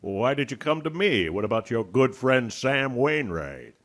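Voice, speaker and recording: Man acting a sharp, cruel old banker, radio play. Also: mid-range voice, big pitch swings, moderate pace. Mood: cold, probing. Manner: sneering, scornful, declamatory. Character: cruel, gloating old male rich banker.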